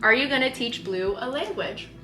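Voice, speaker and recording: A young woman with a bright, forward voice chats informally, her sentences loose and peppered with "like". The room adds noticeable echo, and faint music plays underneath. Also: on the high side, expressive, normal speed. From North America. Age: young adult. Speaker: parenting vlogger. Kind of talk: casual chat with partner.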